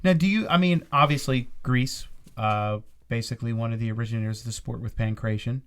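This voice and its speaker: A man's voice: medium pitch, brisk, clear, resonant tone. A man co-hosting a podcast interview, asking long rambling questions full of restarts. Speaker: podcast co-host and fight commentator. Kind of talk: casual interview.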